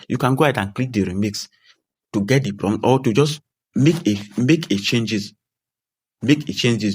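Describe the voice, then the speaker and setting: A man's voice: on the low side, husky. A man presenting a casual tutorial, speaking directly to viewers in a reassuring, repetitive way. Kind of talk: casual screen-recorded tutorial.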